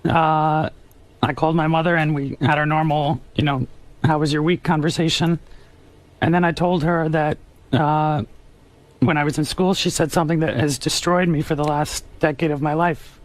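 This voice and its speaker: A young man with a somewhat high voice recounts something personal in a radio interview. His delivery is earnest and halting, like careful confession. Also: fairly even intonation.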